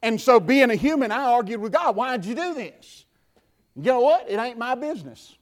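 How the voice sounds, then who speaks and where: High-voiced Southern preacher, fervent. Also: male voice, lively intonation, normal speed. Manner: fervent preaching, builds to shouts then drops quiet. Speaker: pastor. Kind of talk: sermon. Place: church.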